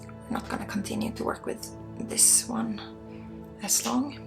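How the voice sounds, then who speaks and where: A woman from Sweden with a Swedish accent guides a spiritual healing ASMR session in a soft, breathy, informal and halting way, often dropping toward a whisper. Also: on the high side. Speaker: spiritual guide, energy healer. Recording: soft music under the voice. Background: soft music.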